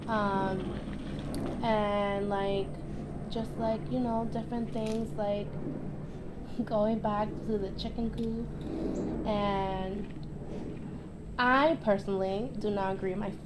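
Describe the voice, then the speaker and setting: A woman's voice: mid-range voice, steady tone, slow pace, pauses often, heavy background noise. A young woman from New York, a farm co-founder, chatting casually on a podcast with lots of fillers and hesitations.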